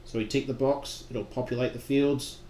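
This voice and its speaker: Flat-toned man narrating software steps, roomy. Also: mid-range voice, normal speed. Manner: plain step-by-step instruction. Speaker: software trainer. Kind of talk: instructional screen-recording narration. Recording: roomy echo with background noise.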